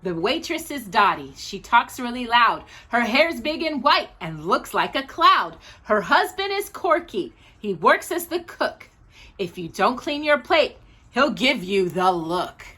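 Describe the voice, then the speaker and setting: A woman hosting a children's storytime, reading aloud in an animated, sing-song manner. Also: a little high, unhurried, husky.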